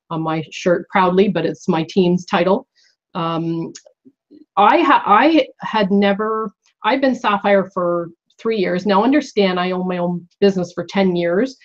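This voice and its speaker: Nasal woman with a cold on a phone line. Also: fairly low pitch. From Canada. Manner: earnest, with many ums and uhs. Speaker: guest speaker. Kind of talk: motivational talk. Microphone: phone call. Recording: dull phone-line sound.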